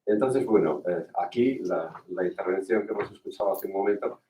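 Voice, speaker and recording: An older man from Galicia talks in a reflective, conversational way, pausing and restarting as he thinks. His voice sits fairly low. The sound is roomy, picked up from a distance in a hall. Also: dark tone. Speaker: poet speaking at a tribute. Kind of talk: off-the-cuff remarks. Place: lecture hall. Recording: echoey hall, distant mic.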